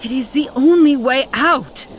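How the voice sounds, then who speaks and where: A woman's voice: sits high, measured pace, slight background noise. An actress voicing a determined, zealous female agent of a secret order in a video game, solemn and poised. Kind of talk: dramatic video game dialogue.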